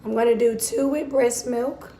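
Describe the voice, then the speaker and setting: A hoarse young woman, a mother, giving a casual how-to demonstration in her kitchen, apologizing for her voice as she works. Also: fairly high pitch, steady tone, normal speed. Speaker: vlogger mother. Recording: echoey room, faint background noise.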